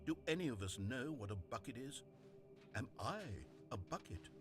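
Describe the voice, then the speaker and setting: A man's voice: mid-range voice, expressive, moderate pace, music in the background, natural voice. A man playing a fussy, theatrical video game narrator, articulate, pompous and easily exasperated. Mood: bewildered, despairing.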